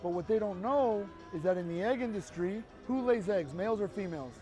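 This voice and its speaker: A man's voice: high voice, lively intonation, brisk, mellow, soft background music. A man with an earnest, emphatic way of talking, making his case to a passerby outdoors on a city street. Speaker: street activist. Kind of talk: persuasive street conversation.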